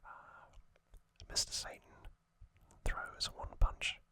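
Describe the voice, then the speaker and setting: A man whispering an ASMR recap as host, soft and unhurried, with frequent hesitant fillers.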